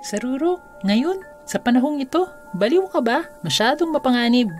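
A woman's voice: high voice, expressive, normal speed, darkish tone, soft background music. A woman voicing a blunt, reluctant, incredulous young sleigh driver in a cartoon.

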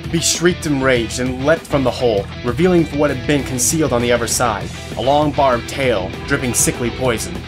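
A man's voice: mid-range voice, normal speed, few pauses. A man narrating a scripted fantasy story as an audio drama narrator. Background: guitar music.

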